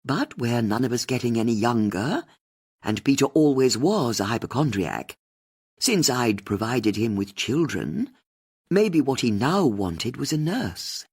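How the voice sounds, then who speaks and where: A woman's voice: extremely low pitch, sing-song, measured pace, darkish tone, husky, full character voice. A woman voicing a bitter, faded older aristocratic divorcee in an audiobook, scornful and world-weary. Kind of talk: audiobook character dialogue.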